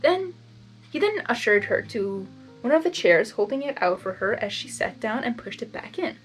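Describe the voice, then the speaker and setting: Animated, higher-voiced woman reading a story. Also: moderate pace, faint music behind, low-quality recording. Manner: chatty, giggly, excitable. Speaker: story reader. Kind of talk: expressive blind reading with casual asides.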